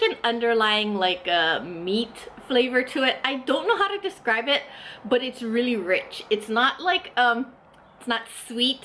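Chatty woman reviewing food, higher voice. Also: fairly bright tone. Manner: chatty and enthusiastic, many "like" fillers. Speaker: food vlogger. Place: small indoor room, eating at a table.

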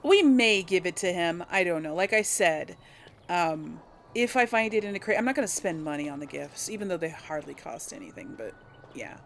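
A woman's voice: lowish voice, lively intonation, moderate pace, slight background noise. Chatty woman doing game commentary. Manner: chatty, informal. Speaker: gaming video host. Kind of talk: casual let's play commentary with role-played dialogue. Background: video game ambience.

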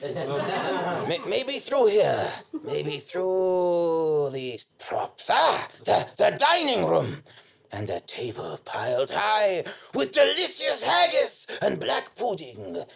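A bumbling hero played by a man who stammers nervously through a live comic audio play. His pitch swings wildly and often drops into creak, picked up by a stage microphone in a small theatre. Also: wildly swooping pitch, takes it slowly, a bit bright, full character voice. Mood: self-narrating. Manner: flustered, hesitant, stammering. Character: bumbling, nervous hero posing as a monster bear. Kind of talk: live comedy audio drama performance. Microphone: microphone on a stand.

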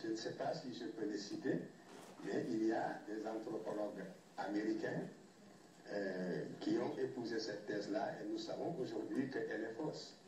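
A man explaining in a measured, lecture-like way, heard from a film clip played into the room. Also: fairly low pitch, a little mellow, very echoey, far from the mic, a little hiss or hum, rough recording.

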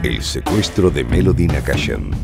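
A male announcer with an extremely low, creaky voice. He delivers a dramatic title over loud music. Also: lively intonation, moderate pace.

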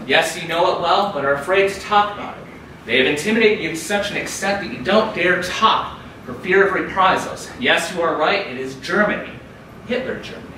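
A man giving a keynote lecture to an audience in a dark conference room, raising his pitch and volume to read quotations aloud. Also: brisk. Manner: raises pitch and volume when reading quotes aloud. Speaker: keynote speaker. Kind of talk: lecture with quoted readings. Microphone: far camera across the room. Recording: echoey room from far off, audible noise.

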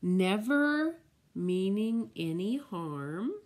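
A middle-aged woman, a preschool teacher, reading a picture book aloud to small children and explaining things simply in a deliberate, drawn-out way. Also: medium pitch, lively intonation, darkish tone. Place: indoors, room at home with window blinds.

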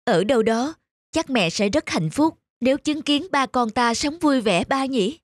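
A woman voicing a caring young daughter who coaxes her father gently, in a dubbed cartoon. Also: high voice, lively intonation, unhurried, no room sound. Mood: tender. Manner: gentle, coaxing. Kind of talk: cartoon dubbing.

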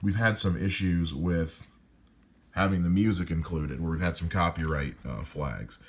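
A man with a loud voice calmly walking through how he edits a podcast episode, step by step. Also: low voice, moderate pace, slightly rough. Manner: calm step-by-step explaining. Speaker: podcast editor. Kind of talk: explaining a screen-recorded editing walkthrough.

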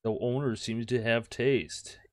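A man's voice: medium pitch, fairly even intonation, a little slow, heavy background noise. A man doing let's play commentary, reading game text aloud with crude jokes and the odd stumble. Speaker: gameplay host.

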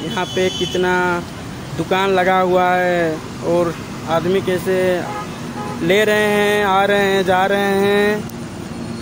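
A man narrates a casual vlog outdoors, explaining things to viewers and often repeating himself. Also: high-pitched. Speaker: vlogger. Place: outdoors at roadside market. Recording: noisy outdoor street with traffic.

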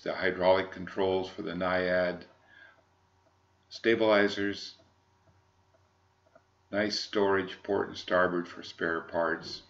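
An American man, middle-aged or older, giving an unscripted sales walkthrough in a halting, measured, formal manner. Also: deep voice, a bit bright, highs cut off. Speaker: yacht broker presenting a boat.

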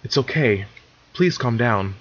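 A man voicing a calm, regal pony princess in a story reading, speaking formally and with composure. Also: medium pitch, normal speed, dull audio, natural voice. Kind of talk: dramatic fan fiction reading.

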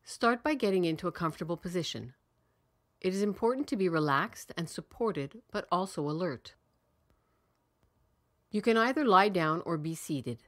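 A woman leading a guided meditation in a calm, soothing, measured way with long pauses between phrases. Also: on the low side.

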